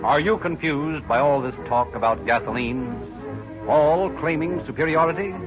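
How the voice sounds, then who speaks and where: A man announcing and narrating in a formal, measured 1930s radio style. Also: a little high. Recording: muffled old radio recording, music behind.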